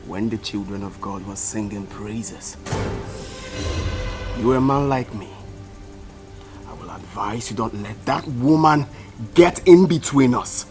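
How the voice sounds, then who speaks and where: A man's voice: fairly low pitch, lively intonation, takes it slowly, pauses often. A man with a Nigerian accent playing a defiant, stubborn father, making emphatic declarations in dramatic film style. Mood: defiant, intense. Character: defiant, stubborn man claiming his son. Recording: loud music under the dialogue.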